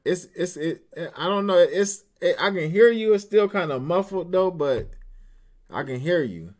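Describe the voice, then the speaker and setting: A man's voice: high voice, expressive, normal speed, darkish tone. A man hosting a casual live-stream interview, talking in an informal, slangy way. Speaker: host of an online call-in show.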